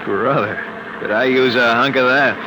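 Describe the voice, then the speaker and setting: An actor playing a folksy, excitable armored truck guard in a classic radio drama, talking in exclamations and slang. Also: male voice, mid-range voice, normal speed, natural voice. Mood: casual griping. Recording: noisy old radio recording.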